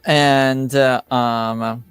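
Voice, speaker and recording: A man improvising narration as a game master for a tabletop roleplaying game, speaking haltingly with many filled pauses. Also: mid-range voice, fairly even intonation, clear, resonant tone, no room sound, faint music behind.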